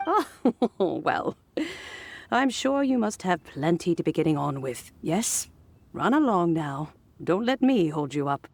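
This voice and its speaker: A woman voicing a kindly, wise elderly female master in a video game, with gracious, old-fashioned, gently teasing phrasing. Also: on the low side, big pitch swings, measured pace, creaky, slight background noise, exaggerated voice. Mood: playfully amused.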